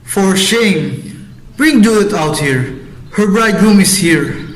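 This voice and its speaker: A man's voice: sits high, lively intonation, slow pace. A man playing a commanding lord and father in an amateur radio drama, speaking in a declamatory way. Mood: impatient, hurried. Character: bossy, impatient lord and father, later grieving. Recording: echoey room.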